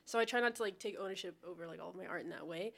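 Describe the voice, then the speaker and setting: Fast-talking young woman. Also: on the low side, creaky, fairly bright tone. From Texas. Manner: rambling, upbeat, heavy "like" fillers. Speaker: musician guest. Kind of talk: casual interview chat.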